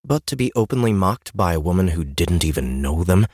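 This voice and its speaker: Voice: deep voice, lively intonation, a little fast, a little mellow, slightly rough, no echo. An audiobook narrator reading scripted fantasy fiction in a studio. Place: dry studio.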